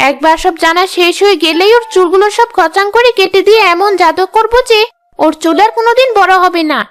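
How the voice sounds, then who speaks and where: A woman's voice: very high-pitched, brisk. A woman voicing a scheming female ghost with magical long hair in a cartoon, sweet-talking one moment and sly and vengeful the next. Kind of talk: cartoon voice acting. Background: background music.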